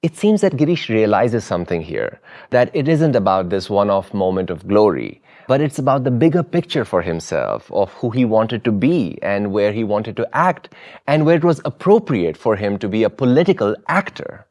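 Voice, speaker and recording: A male podcast host reads scripted narration in a low voice. His pitch moves in a lively, animated way, and his pacing stays measured.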